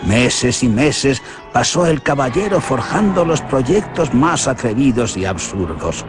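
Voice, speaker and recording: A man with a Spanish accent from Spain, narrating a legend for a radio drama in an expressive, theatrical way. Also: medium pitch, a little slow. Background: music.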